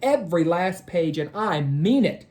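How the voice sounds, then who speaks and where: A woman's voice: lowish voice, animated, measured pace, a little mellow. A woman reading a storybook, voicing a strict, no-nonsense mother who gives firm orders. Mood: stern, commanding. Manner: firm, emphatic commands. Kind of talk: storybook read-aloud character voice.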